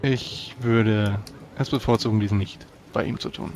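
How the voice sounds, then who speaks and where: A man's voice: fairly low pitch, fairly even intonation, a little slow, darkish tone, a little hiss or hum. A man playing a tabletop roleplaying game, speaking in solemn, formal in-character speech.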